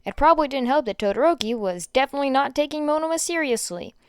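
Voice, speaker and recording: A woman reading fan fiction aloud in an informal, expressive way, stumbling over words now and then. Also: sits high, fast, no echo, studio quality. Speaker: podfic reader. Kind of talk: amateur fan fiction reading with chatty asides.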